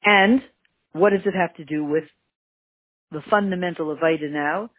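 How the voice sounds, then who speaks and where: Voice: moderate pace, breathy edge, no echo. Canadian-accented teacher on a tinny line. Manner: emphatic, repeats key words, sudden pitch jumps. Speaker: Torah teacher. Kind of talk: religious lesson on a text. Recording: tinny phone-like line, dry.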